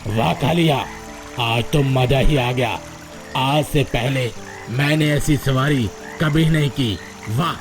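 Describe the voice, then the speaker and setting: A man's voice: medium pitch, takes it slowly, close to an everyday voice. An actor voicing a gullible, self-important frog king in a cartoon moral story, with a regal, curious manner. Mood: delighted. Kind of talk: animated Hindi moral story dialogue. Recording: music behind the voice.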